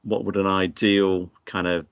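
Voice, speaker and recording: A man chairing a panel discussion, posing questions in a hesitant, halting way. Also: low voice, flat delivery, smooth, no echo, lo-fi sound. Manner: hesitant, halting, many fillers.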